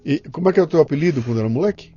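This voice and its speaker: A man's voice: fairly high pitch, lively intonation, very fast, dark tone, highs cut off, faint music behind. Brazilian man hosting a chatty podcast. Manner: informal, chatty, interjects opinions. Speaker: podcast host. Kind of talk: casual conversational interview.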